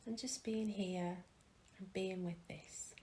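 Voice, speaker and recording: A woman leading a guided mindfulness meditation, speaking calmly and gently with long pauses and soft, breathy phrase endings. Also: mid-range voice. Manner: calm, gentle, unhurried with pauses. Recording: faint background noise.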